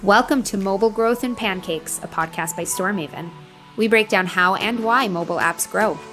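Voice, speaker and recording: Lady doing the podcast intro, upbeat sing-song, soft music behind. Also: mid-range voice, moderate pace. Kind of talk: scripted show intro.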